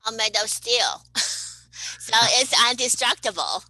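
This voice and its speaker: A woman's voice: fairly high pitch, sing-song, unhurried, pushed and bright, no echo, rough recording. A woman from Taiwan, a podcast guest answering questions cheerfully. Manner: cheerful, laughs between answers. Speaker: guest, cosmetic chemist.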